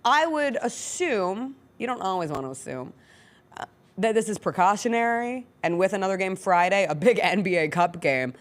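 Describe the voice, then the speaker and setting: A woman's voice: medium pitch, very animated, normal speed. An American woman speaking as a sports show host in a casual, conversational studio style.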